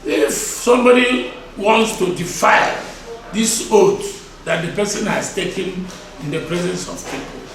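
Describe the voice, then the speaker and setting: An older man around seventy with a Nigerian Igbo accent and a high-pitched voice. He gives interview answers deliberately and emphatically, often repeating a phrase. The recording is very echoey, with a crowd behind him. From Nigeria (Igboland). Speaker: traditional title chief being interviewed. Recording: echoey, distant mic, crowd noise behind.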